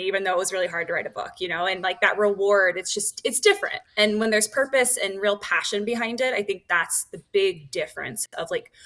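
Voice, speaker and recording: A woman's voice: mid-range voice, a bit bright. A woman in her thirties, a podcast guest and author, talking candidly and enthusiastically with lots of fillers. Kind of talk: casual interview conversation.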